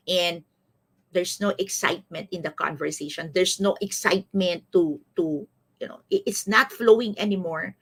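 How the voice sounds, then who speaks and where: A woman in her fifties with a Filipino accent, giving a motivational live-streamed coaching talk, repeating phrases and often checking in with her listeners. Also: lowish voice. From Philippines. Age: 50s. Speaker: life coach, host of a live series.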